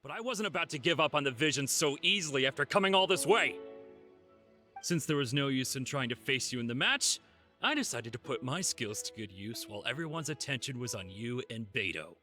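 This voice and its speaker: Excitable anime-game thief: sharp tone, lively pitch swings, melodramatic exasperation. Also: male voice, brisk. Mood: grudging confession. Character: boastful, excitable male thief, easily exasperated. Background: background music.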